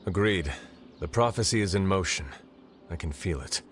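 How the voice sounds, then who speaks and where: An actor playing a stern, solemn half-demon monster hunter in a video game, speaking in formal, archaic phrases. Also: male voice, low voice, expressive, normal speed, fairly bright tone. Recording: music behind the voice.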